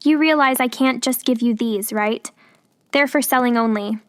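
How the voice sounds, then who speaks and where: A woman's voice: fairly high pitch, normal speed, no room sound, voice pushed up, close to an everyday voice. A woman voicing a kind young potion-making witch in a storybook reading, friendly and lightly teasing.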